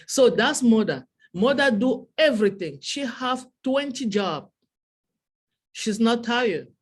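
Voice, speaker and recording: A woman from Cameroon whose first language is French, speaking with a Cameroonian French accent in a heartfelt speech to an online audience, repeating phrases for emphasis. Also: on the high side. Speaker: webinar speaker.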